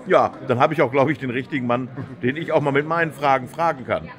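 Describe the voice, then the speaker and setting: A man's voice: medium pitch, quick pace, a crowd in the background. A man hosting a casual interview at a trade fair, chatty and friendly with lots of agreeing. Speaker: host. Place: exhibition hall.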